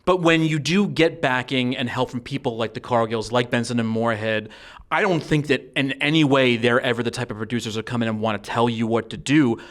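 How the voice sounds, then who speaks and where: A man of middle pitch conducts an interview in a conversational style. He is wordy and meanders, circling his way toward each question. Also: fairly quick, a bit bright.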